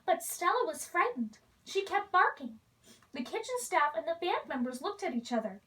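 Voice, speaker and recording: A woman reads a children's story aloud in an expressive storybook style, playing the role of a princess. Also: extremely high pitch, normal speed, far from the mic, poor audio.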